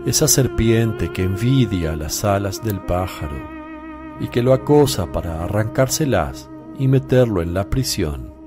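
An actor playing a grave, compassionate and firm holy teacher in a Spanish radio drama, speaking solemnly and deliberately. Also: male voice, fairly low pitch, animated, over music. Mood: grave reproach.

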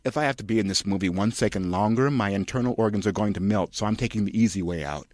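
A man's voice: lowish voice, quick pace, darkish tone, close to an everyday voice. A man voicing a fed-up tough-guy husband who talks bluntly and sarcastically in a comic script reading. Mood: dismissive.

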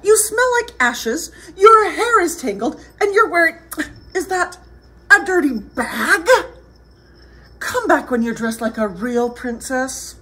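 A woman's voice: high-pitched, sing-song, slow pace, pushed and bright, slight background noise, voice pushed up, full character voice, rough recording. A woman voicing a vain young storybook prince with a fussy, disdainful manner. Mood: disgusted.

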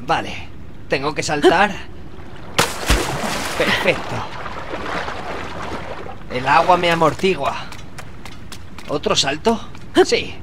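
A man's voice: on the high side, expressive, slow pace, long pauses. A man playing an anxious, determined young fantasy hero in a Castilian Spanish video game dub, speaking in short exclamatory phrases. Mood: focused, relieved. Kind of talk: dramatic video game dub, talking to himself. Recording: clear background noise under the voice.

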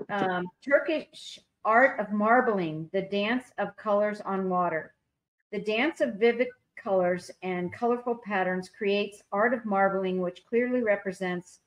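A woman's voice: medium pitch, rough recording. A woman on a video call reading artwork descriptions aloud at a public meeting, stumbling over unfamiliar names. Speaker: public arts commissioner.

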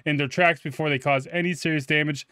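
A man's voice: a little high, fairly even intonation, quick pace, sharp tone, clear, resonant tone, no room sound, clean studio sound. A man voicing a formal, promotional salesman of shady services, reading out a video game note.

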